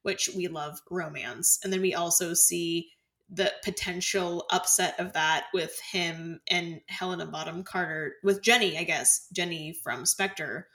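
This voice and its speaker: A woman with a somewhat low-pitched voice talks through a film as a co-host. Her delivery is casual and conversational, wandering as she explains what she thinks. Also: steady tone, unhurried, pushed and bright. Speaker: podcast co-host discussing a film.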